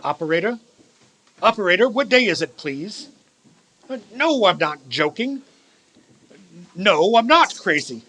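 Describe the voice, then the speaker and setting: Radio play lead: old miser turned earnest, male actor, high bright voice, grandly emphatic. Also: very animated, measured pace, long pauses, full character voice, poor audio. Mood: giddy, joyful. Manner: dramatic, emphatic, formal old-fashioned phrasing. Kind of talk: theatrical radio play acting.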